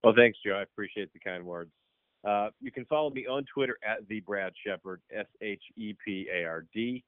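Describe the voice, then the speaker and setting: A man's voice: lowish voice, normal speed, dry recording. A man who is a sports journalist, speaking briefly and plainly as a guest on a podcast. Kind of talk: casual podcast chat. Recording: phone-like call audio, dry.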